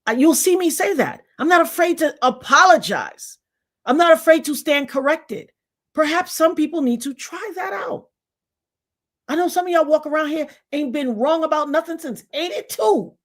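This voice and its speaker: A woman's voice: high voice, normal speed. Woman, 50s, channel host, casual and preachy, lectures her audience directly. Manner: animated, preachy, addresses viewers as y'all. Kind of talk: casual talk to camera.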